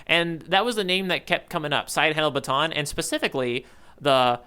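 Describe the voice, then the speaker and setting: A man's voice: on the high side, a little fast, sharp tone, smooth. A man chatting casually and enthusiastically as a podcast co-host, recapping a story. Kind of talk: casual chat recapping a manga chapter.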